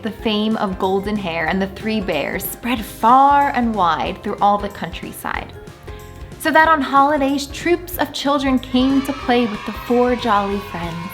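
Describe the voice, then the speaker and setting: A woman reading a children's storybook aloud in an animated, deliberate storytelling manner, drawing out words for emphasis. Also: on the high side. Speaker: children's story reader and channel host.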